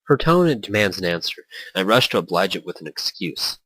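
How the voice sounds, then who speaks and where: A man's voice: sits low, big pitch swings, a bit bright, breathy edge, dry recording, poor audio. A man reading a visual novel aloud as brooding first-person narration, slipping into brief character voices. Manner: brooding, introspective, quick shifts into small character voices. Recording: faint background music.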